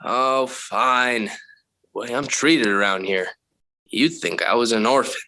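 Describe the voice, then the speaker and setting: An actor playing an indignant, pleading fifteen-year-old son in a 1940s radio sitcom. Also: male voice, mid-range voice, takes it slowly, sharp tone, low-quality recording. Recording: vintage 1940s radio broadcast.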